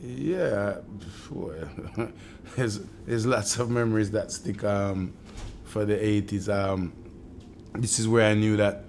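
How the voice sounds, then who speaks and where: Middle-aged Jamaican man, low and even delivery, earnest storyteller, faint hiss. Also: takes it slowly, gravelly. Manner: earnest, reflective, with frequent ums and restarts. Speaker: community shop owner telling his life story. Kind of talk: personal storytelling interview.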